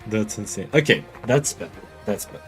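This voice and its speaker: Male streamer with a low voice, deadpan humor, casual game talk over background music. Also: moderate pace. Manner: dry, joking, laughs often. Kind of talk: casual gaming chat. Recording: music playing behind.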